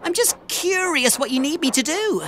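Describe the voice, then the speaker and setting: A woman's voice: sits high, very animated, moderate pace, a bit bright, a little hiss or hum. A woman voicing a cheerful, helpful young boy steam engine in a children's cartoon, earnest and exclamatory. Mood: friendly, helpful. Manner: earnest, wide-eyed, exclamatory.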